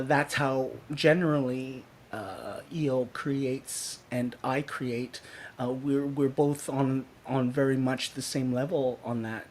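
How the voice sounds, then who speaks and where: A man hosting a podcast, talking casually and off the cuff in a halting, thinking-aloud way. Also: mid-range voice. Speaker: podcast host and improvising musician. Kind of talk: casual unscripted introduction. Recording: clearly noisy room sound.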